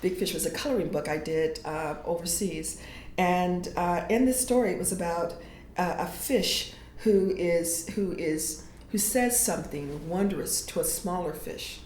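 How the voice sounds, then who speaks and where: A woman poet reading her verse slowly and dramatically, sinking to whispers at times, then talking casually about her writing. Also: on the low side, slight background noise. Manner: slow, dramatic reading with hushed whispered lines. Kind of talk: poetry reading and casual interview. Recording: echoey room.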